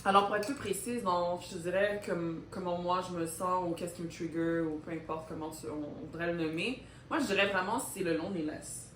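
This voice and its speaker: Femme québécoise trentenaire, pièce qui résonne. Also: female voice, fairly low pitch, fairly even intonation, a little fast, hardly any pauses, far from the mic. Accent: Québécois French. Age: 30s. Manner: reflective, hesitant, mixes English into French. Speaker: co-host. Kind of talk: casual chat. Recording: echoey room with faint noise.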